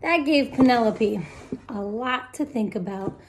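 A woman's voice: fairly high pitch, a little slow, slight background noise, low-quality recording. A woman in her thirties reading a picture book aloud expressively to children, speaking to them directly. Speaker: children's story time reader. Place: small room indoors at home.

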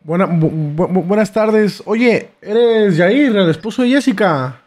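Young mexican streamer dude, kinda high voice, slangy, trips over his words, casual prank caller. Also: lively intonation, a little slow, a little mellow. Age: young adult. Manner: stammering, slangy. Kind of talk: casual prank call banter. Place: small streaming room indoors. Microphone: close boom-arm microphone.

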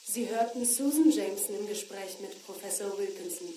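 Radio play: formal announcer, woman actor, mellow tone, roomy and distant broadcast sound. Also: mid-range voice, normal speed, a little hiss or hum, lightly acted. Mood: formal, composed.

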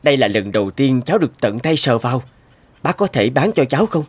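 A man playing a keen, friendly young photographer in an animated story, speaking politely and enthusiastically. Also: medium pitch, quick pace, breathy edge. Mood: amazed. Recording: guitar music under the voice.

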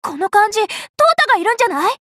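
A woman voicing a cheerful, bossy, scatterbrained young female Buddhist monk in an anime game, boastful and childlike in an expressive, exclamatory way. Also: very high voice, racing, no echo. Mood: boastful, excited. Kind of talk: Japanese anime game character voice lines.

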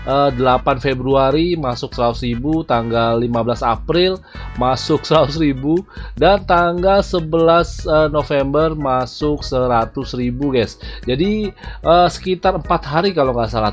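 A man in his thirties hosts a casual gaming video with a chatty delivery. His voice sits at a medium pitch. Music plays behind him as he talks viewers through the game on a headset mic. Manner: chatty, addresses viewers as "guys". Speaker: gaming YouTuber, host. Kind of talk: casual gameplay commentary to camera.

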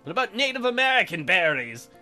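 Male gaming host, bright and animated, profane joker, light music underneath. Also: high voice, fast talker. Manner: crude joking, profane. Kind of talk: let's play commentary, reading dialogue aloud.

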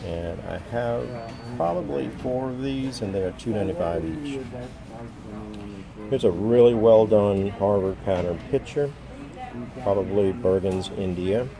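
A man selling antiques, calmly describing each item and its price, with frequent pauses and ums, filmed on a phone at a show booth. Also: lowish voice, creaky, crowd noise. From United States. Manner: slow, matter-of-fact, pausing with ums. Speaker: antiques dealer. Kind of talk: walking sales tour of items. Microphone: phone camera held while filming. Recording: noisy show floor behind.

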